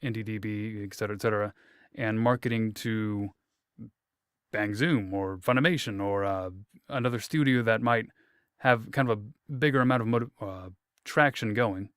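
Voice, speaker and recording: A man's voice: on the low side, moderate pace, smooth, no echo, studio quality. A man hosting an interview, asking long rambling questions with self-corrections and a light, joking manner. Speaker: interview host.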